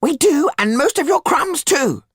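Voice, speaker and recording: A man voicing a sharp, tetchy, imperious schemer in a historical audiobook, in a creaky falsetto. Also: big pitch swings, measured pace, no echo, studio quality, heavily acted. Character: sharp, tetchy Frenchman scheming in a revolutionary cafe.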